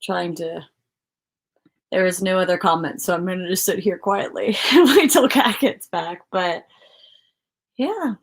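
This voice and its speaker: A woman's voice: lowish voice, measured pace, long pauses, slightly rough. A woman co-hosting a book livestream, chatting casually in a rambling, informal way. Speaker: co-host of a book discussion livestream.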